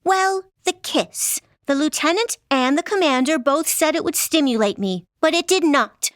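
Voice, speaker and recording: A woman's voice: high-pitched, expressive, moderate pace, no room sound, studio quality. An actress playing a naive, curious young woman in a comedy audio drama, speaking earnestly in formal phrases.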